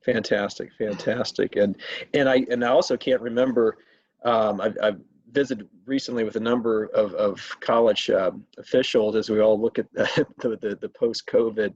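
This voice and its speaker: A man hosts a conversational interview in a husky, fairly low voice. He speaks haltingly, doubling back on words as he forms questions. The sound is a little muffled, like a video call. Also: steady tone, darkish tone, no echo. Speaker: state legislator hosting an interview.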